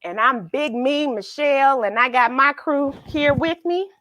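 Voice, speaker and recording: A woman's voice: high-pitched, a little slow, a bit bright, no echo. A woman hosting a children's read-along, chatting informally in a playful, affectionate, encouraging way and repeating phrases for emphasis.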